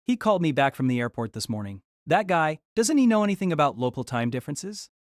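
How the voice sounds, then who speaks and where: A man's voice: on the high side, big pitch swings, fast talker, no room sound, clean studio sound. A man voicing an earnest, polite and hesitant young student in an audio drama. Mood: feigned casualness. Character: earnest, hesitant teenage student speaking to his grandparents.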